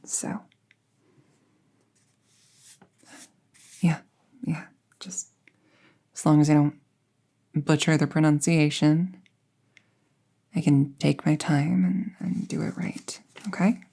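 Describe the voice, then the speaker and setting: A woman plays an apprentice witch in an intimate audio drama. Her voice is mellow and soft, with a slow, careful delivery and very little rise or fall. She comes across as gentle and reassuring. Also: deep voice, flat delivery, creaky, exaggerated voice. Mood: nervous, careful.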